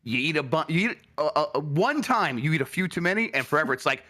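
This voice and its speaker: A man's voice: on the high side, animated, fast talker, bright, forceful tone. A man chatting casually on a podcast in a blunt, rambling, sweary way. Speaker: podcast panelist. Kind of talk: casual chat.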